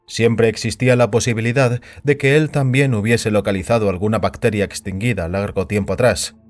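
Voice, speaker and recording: A man's voice: on the low side, fairly even intonation, a little fast. A man narrating a scripted fiction story as a dramatic audio production. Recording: music bed behind the voice.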